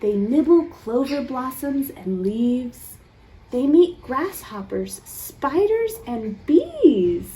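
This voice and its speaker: Very animated, high-voiced woman reading to kids. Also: rough recording. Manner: animated, theatrical gasps and pauses for young listeners. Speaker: children's storytime reader. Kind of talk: read-aloud picture book with questions to young children.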